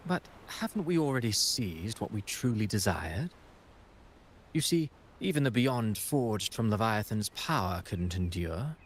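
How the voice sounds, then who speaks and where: An actor playing a calm, scheming playwright villain in a video game cutscene, speaking in formal, poetic, courteous phrases. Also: male voice, medium pitch, a little hiss or hum, natural voice. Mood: courteous, composed.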